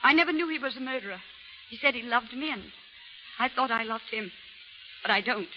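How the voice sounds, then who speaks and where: A woman's voice: on the high side, a little fast, natural voice. A woman playing a desperate young convict. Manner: pleading, distraught. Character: young female convict, naive country girl. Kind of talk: 1940s radio acting. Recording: dull lo-fi old radio transcription with faint hiss.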